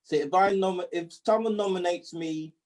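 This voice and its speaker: A man with a dark, mellow voice speaks on an online panel. He is reflective and questioning, often pausing and restarting as he searches for words. The audio comes through a video call. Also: high-pitched. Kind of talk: online group discussion.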